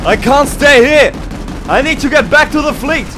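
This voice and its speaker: An actor playing a stranded, defiant and sarcastic fleet pilot in a sci-fi audio drama. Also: male voice, very high voice, lively intonation, normal speed, music in the background. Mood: desperate. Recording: loud gunfire noise behind.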